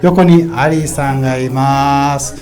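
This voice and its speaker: A man's voice: medium pitch, fairly even intonation, a little fast, darkish tone. A man hosting a live radio interview at an event, speaking in loose, hesitant phrases and echoing replies. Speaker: radio host. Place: live broadcast at a market event. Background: music.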